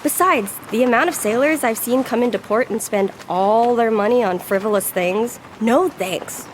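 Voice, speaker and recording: A woman's voice: on the high side, normal speed, slight background noise, close to an everyday voice, rough recording. A woman playing a sensible, stubborn young boy deckhand with a plain-spoken manner in a scripted audio adventure. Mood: unimpressed.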